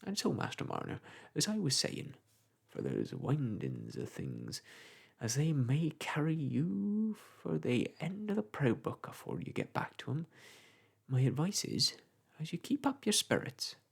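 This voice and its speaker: Man doing a garrulous old village clerk, gravelly, husky. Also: fairly high pitch, wildly swooping pitch, measured pace, exaggerated voice. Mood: rambling advice. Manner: rambling, folksy, lecturing.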